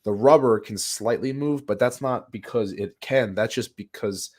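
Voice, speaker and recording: A man with a raspy voice from a sinus infection, chatting as a podcast co-host in a rambling way with many restarts. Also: mid-range voice, normal speed, a little mellow. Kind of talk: casual podcast chat.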